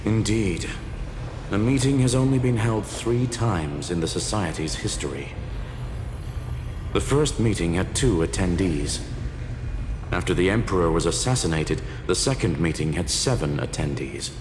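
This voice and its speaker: An actor playing a grave, cautious intellectual in a video game, speaking formally and deliberately. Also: male voice, low-pitched, animated, reverberant, noisy background. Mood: matter-of-fact. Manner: formal, deliberate, matter-of-fact. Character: grave, courteous male intellectual, cautious and disapproving. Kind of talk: scripted video game voice acting.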